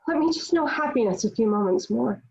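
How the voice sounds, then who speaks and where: A woman's voice: a little high, expressive, brisk, gravelly, a little mellow, slightly rough, full character voice. A woman playing a devout young warrior maid in a drama read, earnest and vulnerable, over a lo-fi online call. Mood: pleading. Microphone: video call.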